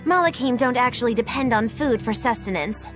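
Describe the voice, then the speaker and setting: A droll, theatrical witch played by a woman, high in pitch and very animated. She teases and mocks with sardonic flair, game cutscene style, over faint music. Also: brisk, close to an everyday voice. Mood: wry. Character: flippant, teasing, theatrical witch, greedy and droll. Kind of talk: English game voice acting.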